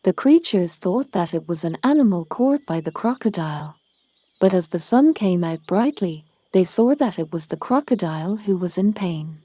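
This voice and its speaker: Mellow woman reading a children's storybook. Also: on the low side, lively intonation, moderate pace, dry recording. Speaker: storybook reader. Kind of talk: children's read-aloud narration.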